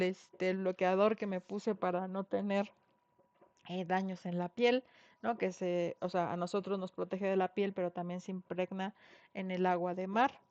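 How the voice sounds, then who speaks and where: A woman's voice: medium pitch, a little mellow, no echo. A middle-aged woman from Mexico City with a Mexican Spanish accent, giving an online university lecture in a conversational way, full of fillers and tag questions. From Mexico City (Xochimilco). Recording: dull dry online class audio.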